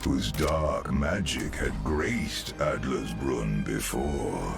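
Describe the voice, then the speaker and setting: Male game narrator, very deep and gravelly, slow and lively in pitch, over loud music. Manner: slow, dramatic storyteller. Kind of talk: storybook narration.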